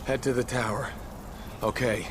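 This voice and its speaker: Male actor as bewildered young game hero: wary, plain, mid-pitched, clear background noise. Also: steady tone, normal speed, natural voice. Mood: shaken. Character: bewildered young male newcomer caught in war. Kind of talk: video game cutscene acting. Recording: noisy mechanical game ambience.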